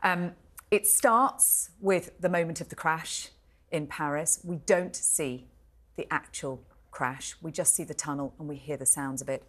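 A British woman in her forties with a notably low voice. She speaks as a studio pundit, measured and articulate, leaning on key words as she reviews. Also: a bit bright. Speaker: royal editor, studio pundit. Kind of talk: live broadcast review and commentary. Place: television studio.

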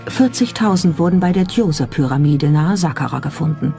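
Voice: mid-range voice, lively intonation, brisk, gravelly. A narrator reading a scripted documentary in a pointed, skeptical, rhetorical manner. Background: background music.

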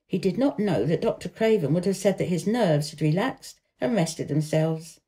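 Mellow-voiced woman narrating a storybook. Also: lowish voice, expressive, moderate pace. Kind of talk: scripted narration of a children's novel.

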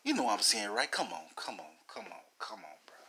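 A man's voice: lowish voice, sing-song, fairly quick, bright, forceful tone, slightly rough. A man giving rambling video game commentary in a theatrical manner, repeating phrases and talking straight at the viewers.

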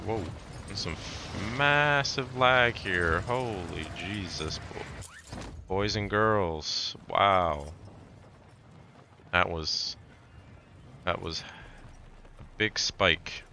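Raspy, laid-back gaming host. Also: male voice, low-pitched, drawn-out words, pauses often, pushed and bright, slight background noise. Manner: laid-back, easily startled exclamations. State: hoarse from a cold. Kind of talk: casual gameplay commentary.

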